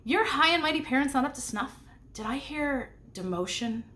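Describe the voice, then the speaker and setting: A woman's voice: high voice, animated, moderate pace, pushed and bright, pitched above the performer's own voice. A woman voicing a gleeful young demon boy in a storybook, mocking and needling. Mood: taunting.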